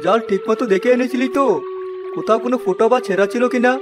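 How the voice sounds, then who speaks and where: A man playing a concerned, wondering village fisherman in an animated story. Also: extremely high pitch, quick pace, music in the background. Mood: worried. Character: village fisherman, longtime river man, anxious companion.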